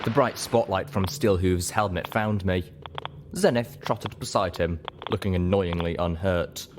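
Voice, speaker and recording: A man's voice: low-pitched, measured pace, background noise. A man reading scripted story narration as an audiobook reader.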